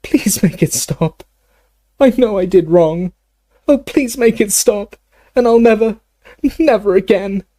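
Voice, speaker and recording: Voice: medium pitch, big pitch swings, measured pace, few pauses, dark tone, breathy edge, dry recording. A storyteller voicing an old male wizard professor in anguish, begging and delirious as in an audiobook. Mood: sobbing remorse.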